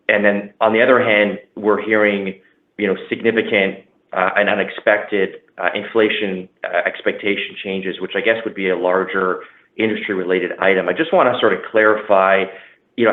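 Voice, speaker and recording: A man speaking as a financial analyst, putting a question on an earnings call. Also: lowish voice. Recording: echoey phone-like line.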